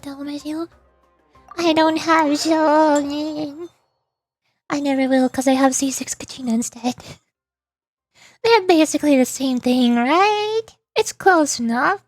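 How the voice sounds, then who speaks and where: A woman with a high voice hosts a casual gaming stream, with music playing faintly behind her. She rambles playfully and mutters small asides to herself as she plays. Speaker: livestream host, VTuber playing a video game.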